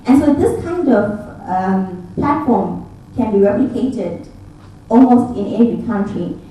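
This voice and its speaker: A woman's voice: medium pitch, animated, a little slow, warm tone. A young woman with a Zimbabwean accent giving a business presentation as a conference speaker. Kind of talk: presentation pitch to an audience. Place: indoor event room with tables. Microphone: handheld mic, picked up by far camera. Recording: distant, echoey room with faint noise.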